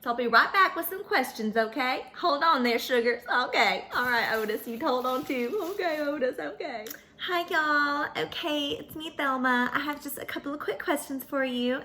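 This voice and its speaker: A woman's voice: sits high, pushed and bright. A woman voicing a sweet, folksy little female pony, telling her story dramatically and earnestly to children. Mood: friendly, chatty.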